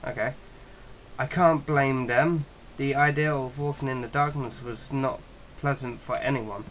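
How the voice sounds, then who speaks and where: A man with a middling-pitched voice reads visual novel narration out loud. He stumbles, rereads phrases and slips in casual swearing. The sound is dull and lo-fi, with faint noise behind him. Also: steady tone. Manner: halting reader who stumbles and rereads. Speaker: gaming video host.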